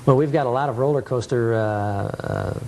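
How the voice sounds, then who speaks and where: A man's voice: mid-range voice, normal speed, creaky, darkish tone, slight background noise. A man hosting a television nostalgia show, chatting casually and reminiscing as he interviews.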